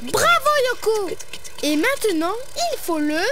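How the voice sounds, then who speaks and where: Preschool cartoon lead: very high, lively young voice, encouraging drawing teacher. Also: measured pace, heavy background noise, full character voice. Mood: helpful, explaining. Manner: encouraging, clear step-by-step explaining. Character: Didou, cheerful young cartoon character who teaches drawing. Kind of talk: preschool cartoon dialogue and step-by-step instruction. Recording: music behind the voice.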